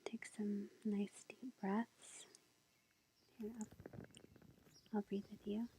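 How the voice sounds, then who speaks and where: A woman doing a soft-spoken, half-whispered ASMR roleplay as a tarot reader, with a breathy voice and an unhurried, hesitant, gentle, casual manner. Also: mid-range voice, flat delivery. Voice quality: breathy, whispery, with creaky fry. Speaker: ASMR roleplay performer as a tarot-reading witch girlfriend. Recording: lo-fi with faint background noise. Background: faint forest ambience with bird song.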